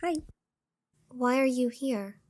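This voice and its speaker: Woman acting a high-voiced, gentle young female master. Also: takes it slowly. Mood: gentle, composed. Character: young female master, gentle but firm, mysterious fighter. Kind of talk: video game fan dub acting. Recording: music under the voice.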